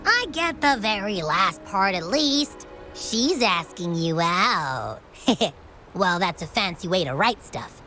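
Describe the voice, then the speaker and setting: An actress voicing a cheeky, curious little companion in a video game, playful and expressive as she thinks aloud. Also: mid-range voice, slow pace, soft background music, heavily acted. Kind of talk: video game character dialogue.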